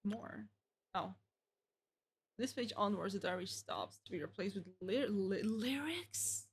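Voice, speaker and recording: A woman's voice: medium pitch, expressive, measured pace, slightly rough. A woman streaming a game, reading its text aloud and reacting casually.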